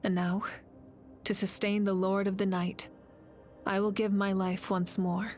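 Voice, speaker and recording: A woman playing a resolute, calm war leader in a video game, speaking in a measured, grave manner. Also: medium pitch, soft background music, close to an everyday voice.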